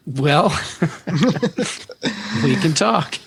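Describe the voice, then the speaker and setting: A man's voice: a little high, lively intonation, extremely slow, creaky, slightly rough, poor audio. A man speaking as a podcast guest in casual tech chat, conversational and emphatic.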